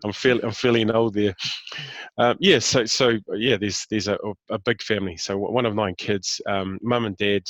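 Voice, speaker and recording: Low-voiced New Zealand man in his fifties. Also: steady tone, normal speed, fairly bright tone, dry recording, highs cut off. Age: 50s. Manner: hesitant, many fillers and restarts. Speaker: interview guest. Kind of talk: casual interview talk.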